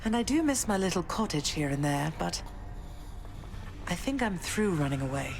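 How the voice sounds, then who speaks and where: An actress playing a gracious goddess queen and mother in a video game, gentle, polite and measured, with music behind her. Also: on the low side, big pitch swings, gravelly, a little hiss or hum. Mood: reflective.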